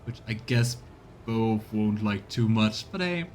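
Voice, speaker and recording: Musing male streamer, faint rumbling noise. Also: expressive, slow pace, darkish tone. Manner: musing, tentative. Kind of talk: casual let's play commentary.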